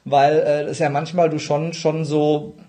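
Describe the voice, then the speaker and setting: A man interviewing casually and colloquially as a podcast host, with halting, rambling phrasing and repeated words. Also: fairly high pitch, flat delivery, dark tone.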